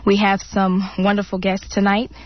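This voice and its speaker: A woman's voice: medium pitch, completely flat delivery, moderate pace, dull audio. Chatty woman radio host. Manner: friendly, chatty, laughs easily. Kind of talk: radio talk show hosting.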